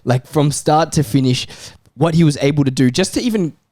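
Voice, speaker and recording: Casual higher-voiced man, football podcast. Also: lively intonation, brisk, darkish tone. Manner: informal, slangy, swears freely. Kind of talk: casual banter, telling football opinions.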